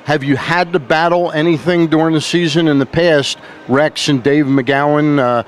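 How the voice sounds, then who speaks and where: Higher-voiced male sports host, ambient noise. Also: fairly even intonation, normal speed. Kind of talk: sports interview and sponsor reads. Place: outdoors at a ballpark for the interview.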